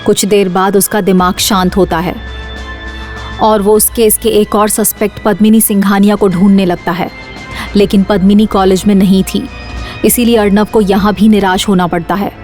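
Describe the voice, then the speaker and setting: A woman's voice: medium pitch, flat delivery, normal speed, darkish tone, soft background music. A woman reading scripted suspense narration for an audio drama series.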